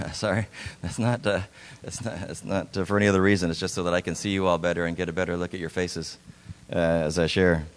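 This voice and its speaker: Deep-voiced man speaking to a church. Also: flat delivery. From Lebanon. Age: middle-aged. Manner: halting, folksy, many fillers. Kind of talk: church talk with slides. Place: church sanctuary.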